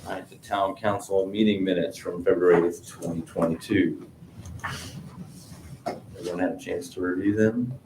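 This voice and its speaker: Deep-voiced man chairing in an echoey room. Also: fairly even intonation, normal speed, pauses often. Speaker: town council president chairing a meeting. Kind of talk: formal meeting procedure. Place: indoor council meeting room. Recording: echoey meeting room, clear background noise.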